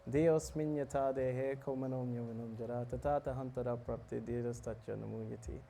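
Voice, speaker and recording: Man with a mellow voice doing a chatty scripture talk, jokes around with the crowd, bit of background hum. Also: medium pitch, fairly even intonation, brisk, vocal fry. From South Africa. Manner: conversational, joking, asks the audience questions. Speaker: monk giving a scripture talk. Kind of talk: casual sermon to a live audience.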